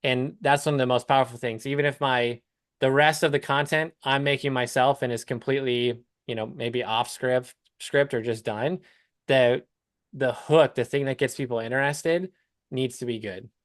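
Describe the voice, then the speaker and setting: A man's voice: medium pitch, fairly even intonation, moderate pace, dry recording, studio quality. A man talking casually as a coach on a live call, explaining things step by step with examples. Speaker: business coach hosting a client call.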